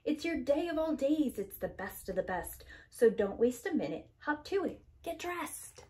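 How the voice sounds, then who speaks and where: Woman voicing an exuberant, high-swooping storybook bird. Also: very animated, moderate pace, dark tone. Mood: eager, urging. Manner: exuberant, urging, exclamatory. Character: jolly, bossy, celebratory great male birthday bird.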